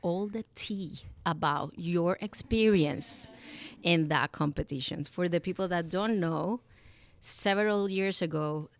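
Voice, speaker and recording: A woman's voice: lowish voice, measured pace. A woman hosting a live podcast, introducing her guest and asking about her story. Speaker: podcast host. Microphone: live event microphone.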